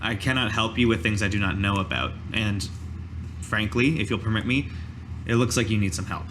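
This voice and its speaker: Man playing a formal, deliberate village mage. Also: fairly low pitch, noisy background, close to an everyday voice. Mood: grave, measured. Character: male village master mage, formal, measured, grave mentor.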